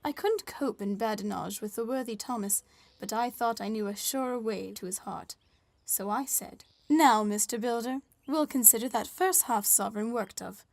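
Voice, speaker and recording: Actress as higher-voiced, wry lady reporter. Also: a little mellow, no echo, natural voice. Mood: shrewd, persuasive. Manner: articulate, formal, wry. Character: polite, shrewd female Victorian newspaper reporter, quietly wry. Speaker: narrator and interviewer. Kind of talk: scripted first-person narration and dialogue.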